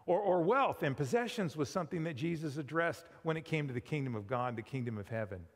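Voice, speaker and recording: A middle-aged male pastor preaches in a lively, talky way, his fairly high voice leaping up and down as he tosses rhetorical questions at the congregation. His words ring out through a big church sanctuary, trailing a long reverb. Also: fairly quick. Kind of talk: sermon. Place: large church sanctuary with pipe organ.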